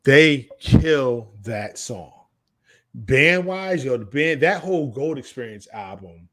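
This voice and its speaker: Man with a lively, swinging delivery. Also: mid-range voice, slow. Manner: emphatic, repeats phrases for stress. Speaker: podcast co-host. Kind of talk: casual music discussion.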